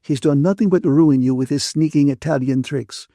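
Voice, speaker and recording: A bitter, indignant woman from a novel, acted by a male narrator, scolds in a raised pitch. The delivery is emphatic and aggrieved, close to tears, with a dry studio sound. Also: moderate pace, dark tone, no echo, close to an everyday voice. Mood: indignant, scornful.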